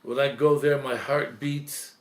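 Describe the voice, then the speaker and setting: A man, a priest, giving an earnest, reflective talk, slowing and softening at emotional points. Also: medium pitch, steady tone, echoey room. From Lebanese heritage.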